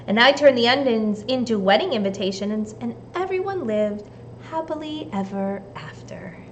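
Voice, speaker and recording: Female teacher for a kids' read-aloud, higher-pitched, unhurried, simple phrasing, slight background noise. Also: expressive. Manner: deliberate, simple phrasing addressed to young children. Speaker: teacher reading a storybook aloud to children. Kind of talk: expressive storybook read-aloud and simple instruction for kids.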